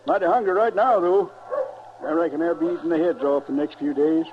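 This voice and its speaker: Male actor as hardy old sheep farmer, folksy Western radio drama, higher register, rural American twang, faintly noisy vintage audio. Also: fairly quick, lo-fi sound, close to an everyday voice. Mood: folksy, hearty. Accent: rural American West.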